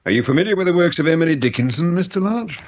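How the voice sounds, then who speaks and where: An actor playing a courteous, shrewd, dry-witted police detective in a radio drama, speaking formally with measured understatement. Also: male voice, a little high, lively intonation. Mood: intent, measured.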